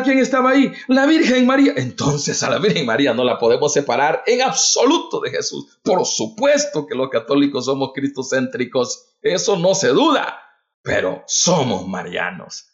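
Un hombre salvadoreño con voz algo aguda predica con fervor en una transmisión. Su tono sube y baja con mucha viveza mientras repite preguntas a su audiencia. La grabación suena apagada y de baja fidelidad. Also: male voice, sits high. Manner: fervent, calls listeners "brother", repeats rhetorical questions. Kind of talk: livestreamed devotional talk. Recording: dull lo-fi recording.

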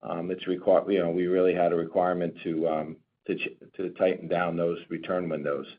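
A man speaking as a company executive, answering questions on an earnings call in a halting, corporate way. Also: sits low, level tone. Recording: narrow, tinny phone-conference line.

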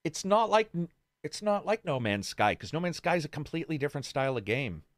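A man's voice: on the high side, big pitch swings, a little fast, dry recording, clean studio sound. A man hosting a gaming podcast in casual, unscripted chat, with an informal and self-deprecating manner.

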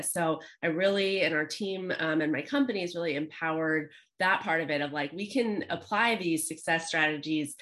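Low-voiced woman from Los Angeles. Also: fairly bright tone. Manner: chatty, upbeat, frequent fillers. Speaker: guest, relationship coach. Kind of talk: podcast interview.

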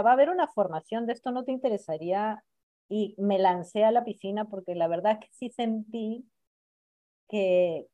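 A young adult woman born in Israel, a guest on a podcast, chatting in a relaxed way and laughing lightly as she tells how she got into therapy work. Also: mid-range voice, no echo, highs cut off. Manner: relaxed, chatty, laughs lightly while telling her story. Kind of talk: casual interview storytelling.

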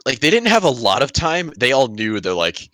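A man's voice: medium pitch, very animated, moderate pace, a bit bright, no room sound. A man co-hosting a podcast, chatting informally with friends and acting out bits of paraphrased dialogue. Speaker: podcast co-host. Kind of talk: casual chat with friends.

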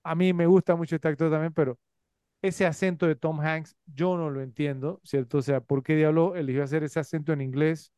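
A man's voice: a little high, fairly even intonation, fairly quick, dark tone, clear, resonant tone, no room sound, studio quality. A man co-hosting a movie podcast, rambling casually with many fillers and tag questions. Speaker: podcast co-host. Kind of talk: casual movie review chat.

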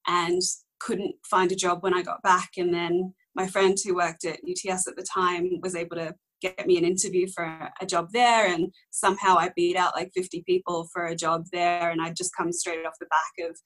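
A woman in her twenties, whose was Korean, speaks with a slightly low voice. She tells her story candidly in a casual interview, wandering and correcting herself along the way. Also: flat delivery, moderate pace, fairly bright tone, low-quality recording. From Australia. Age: young adult. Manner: rambling, candid, many ums and self-corrections. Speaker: interview guest.

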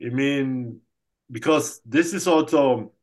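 A man's voice: medium pitch, slow, a little mellow. A man who grew up in Germany with German as his first language, co-hosting a podcast in a casual, explaining way. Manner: explaining, frequent "right?" and "I mean". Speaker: podcast co-host.

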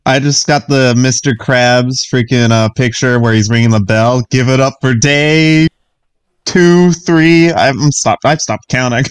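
A man's voice: mid-range voice, measured pace, clean tone, no room sound. A young man co-hosting a casual podcast chat in a laid-back way. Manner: laid-back, brief interjections. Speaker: podcast co-host.

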